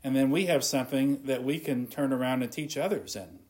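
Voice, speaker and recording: A man's voice: medium pitch, fairly quick, darkish tone, rough recording. A man preaching an earnest sermon, reading scripture and retelling it in his own words. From not from Scotland.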